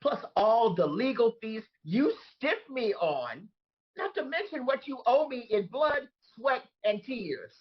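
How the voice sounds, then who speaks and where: A woman acts out an aggrieved, dramatic disgraced crony in a comic table read. The voice is husky and swings widely in pitch. The audio is a little dull. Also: sing-song, takes it slowly, exaggerated voice. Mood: indignant. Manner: indignant, emphatic, dramatic. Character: Rudy, aggrieved, bewildered disgraced man trapped in woman's body. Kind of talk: comedic table-read acting.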